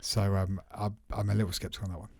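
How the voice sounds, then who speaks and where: Man, low voice, dry close sound, relaxed tech podcast co-host, mildly skeptical. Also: fast, creaky, dry recording. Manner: easygoing, agreeable, skeptical asides. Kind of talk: casual tech chat.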